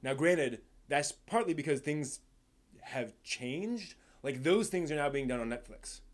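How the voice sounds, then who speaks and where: A man hosting a casual live stream, commenting on news in a rambling, halting way, often restarting his sentences and stressing points before trailing off. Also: on the high side, lively intonation.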